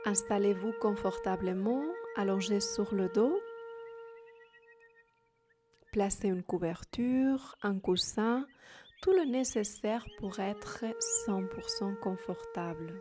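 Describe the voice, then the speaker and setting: A woman guiding a meditation as a yoga nidra teacher, in short, unhurried phrases with long pauses, giving soothing instructions. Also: mid-range voice, over music.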